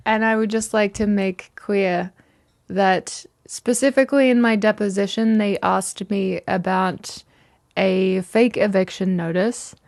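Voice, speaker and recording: A woman's voice: mid-range voice, steady tone, unhurried, creaky, a little mellow, no echo, studio quality. A woman making her point emphatically in an interview. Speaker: interviewee, house resident.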